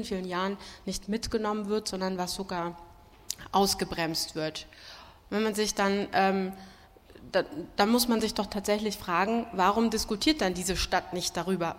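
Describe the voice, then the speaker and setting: Woman, panel speaker from Berlin, emphatic, opinionated, medium pitch, long hall reverb. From Berlin, Germany. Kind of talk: impassioned political panel discussion. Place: large hall at a public event.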